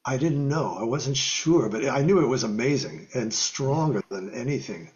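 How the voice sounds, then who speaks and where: An older man on a video call from his home music room, telling lively stories as an interview guest, acting out quotes and sound effects and laughing often. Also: medium pitch, moderate pace, muffled recording. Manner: animated storyteller, acts out quotes and guitar sounds, laughs often. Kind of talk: storytelling in a casual interview. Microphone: video call webcam.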